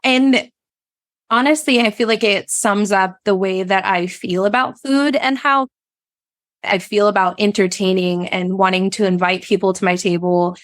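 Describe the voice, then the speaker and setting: A woman's voice: mid-range voice, steady tone, normal speed, no room sound. A woman speaking as an interview guest, telling personal stories in a conversational way. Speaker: podcast guest, food blogger.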